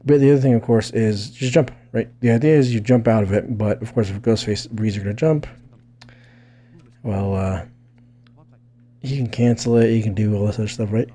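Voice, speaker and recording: Low voiced guy doing a casual fighting game tutorial, really mellow and dark tone, kinda rambling as he thinks out loud. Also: fairly even intonation, moderate pace. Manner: rambling, thinks aloud, tags points with "right?". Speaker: gaming video creator explaining techniques.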